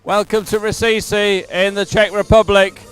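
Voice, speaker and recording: A man's voice: high voice, flat delivery, a little slow, smooth. A man presenting a sports event with unscripted live commentary from outdoors at the waterside. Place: outdoors, waterside at a racing venue. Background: music playing at the venue.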